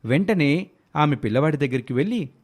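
A man's voice: fairly high pitch, lively intonation, fairly quick, husky. A man telling a scripted story as its narrator, acting out bits of dialogue.

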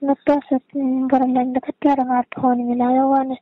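A woman with a Mewati accent chats casually over the telephone. Her voice sits high and moves little in pitch. The sound is thin and narrow, as on a recorded call. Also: level tone, normal speed. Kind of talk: casual private phone chat.

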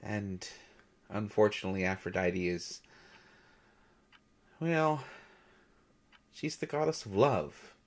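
Audio drama role, male actor: shy male god posing as substitute teacher, lowish voice, very slow halting delivery, gentle storyteller. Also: expressive. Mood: thoughtful, playful. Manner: hesitant, nervous, halting with soft chuckles. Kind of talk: intimate audio roleplay, storytelling lecture.

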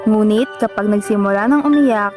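A woman's voice: medium pitch, moderate pace. A woman with a Filipino accent narrating a scripted radio drama as a storyteller. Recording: music playing behind the voice. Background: instrumental music.

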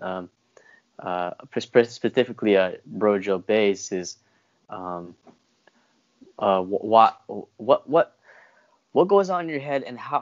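A young man hosting a casual interview over a video call, feeling his way haltingly through his questions. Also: on the low side. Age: young adult. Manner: halting, hesitant when framing questions. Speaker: interview host. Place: indoor room at home, video call. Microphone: wired earphones on a video call. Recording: dull, muffled video-call audio.

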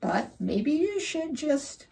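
A woman reading aloud, voicing a small, excited young male mouse who frets in a high voice. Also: takes it slowly, vocal fry, exaggerated voice. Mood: worried.

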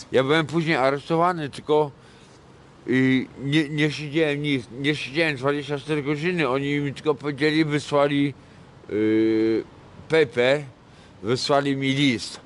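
A middle-aged man from Poland, high and rambling, swearing a lot in a casual talk on a city street at night. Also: fairly even intonation, measured pace, slight background noise. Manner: crude and sweary, rambling, mixes in broken English. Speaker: homeless man interviewed on the street. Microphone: vlogger's handheld camera mic.

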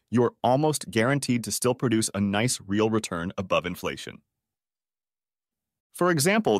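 A man presenting a scripted finance explainer in a didactic, measured manner with rhetorical questions. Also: medium pitch, dry recording, clean studio sound.

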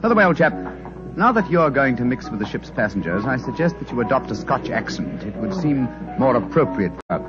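A man plays a clever detective: crisp, teasing, lively pitch, old dull radio sound with music. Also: fairly quick, natural voice. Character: brisk, clever male detective, middle-aged. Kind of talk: 1940s radio acting.

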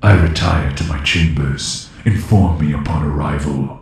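A cavernous, heavily echoed voice booms as a menacing emperor. It is extremely low and unhurried, cold and imperious, in the style of an audio drama villain. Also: male voice, faint music behind. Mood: cold, commanding. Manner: slow, imperious. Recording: heavily echoing voice.

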